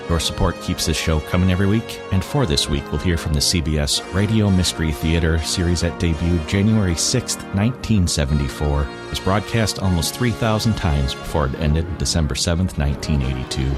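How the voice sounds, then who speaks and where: A man hosting a podcast, reading scripted introductions and sign-offs. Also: very deep voice. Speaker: podcast host. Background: music under the voice.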